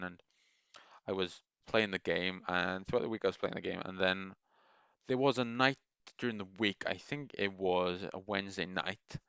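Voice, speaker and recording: Deep-voiced man rambling enthusiastically. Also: fairly bright tone, clean tone, no room sound, lo-fi sound. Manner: meandering, enthusiastic, many restarts. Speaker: gaming video creator. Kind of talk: casual rambling monologue.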